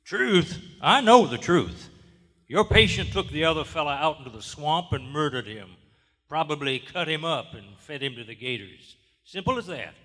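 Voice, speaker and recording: A man playing a gruff, suspicious sheriff with a folksy, badgering manner in a live radio drama. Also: a little high, expressive, moderate pace, fairly bright tone. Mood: angry, accusing. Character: gruff, suspicious, hard-nosed Southern sheriff in his office. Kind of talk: 1940s radio drama acting. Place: large theater stage, live performance. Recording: live theater stage, long hall reverb.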